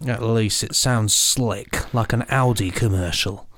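A man's voice: fairly low pitch, measured pace, fairly bright tone. A British man co-hosting a podcast with playful, tongue-in-cheek casual banter. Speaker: podcast co-host.

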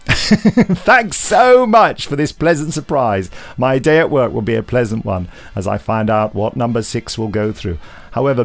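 Male podcast host, highly varied intonation, playful and emphatic, reads aloud and banters. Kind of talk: reading listener email aloud with chatty asides.

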